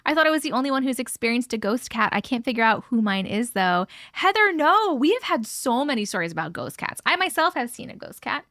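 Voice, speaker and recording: Woman livestream host, high bright voice, dry close sound, gushing and chatty with her chat. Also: sing-song, a little fast, hardly any pauses, dry recording. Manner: chatty, effusive, talks to chat viewers. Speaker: livestream host reading viewer stories. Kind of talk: casual live chat and reading aloud. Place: at home with her cat.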